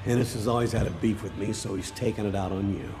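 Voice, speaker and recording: A man playing a principled, gruff older police officer and father in a video game drama, with an American accent and a gravelly voice. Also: fairly low pitch, steady tone, quick pace, music in the background, close to an everyday voice. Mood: gruff, fatherly. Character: aging veteran cop father, proud, gruff, principled.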